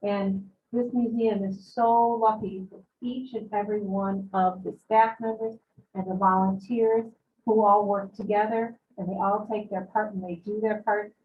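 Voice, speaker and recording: A woman hosting a museum event who talks in a big, booming, enthusiastic voice. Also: flat delivery, moderate pace, a little hiss or hum. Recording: echoey, muffled sound from far off.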